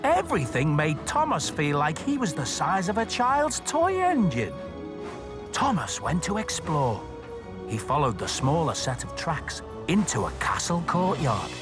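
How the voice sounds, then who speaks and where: A man narrating a story for children as host, expressive and speaking directly to young listeners. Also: fairly high pitch, measured pace, music in the background. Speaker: storytelling host of a children's show, station worker persona.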